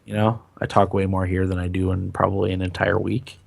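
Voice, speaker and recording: A man with a raspy, hoarse voice that is recovering, chatting casually and a bit haltingly as a podcast host. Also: sits low, little pitch movement, background noise. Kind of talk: casual chat.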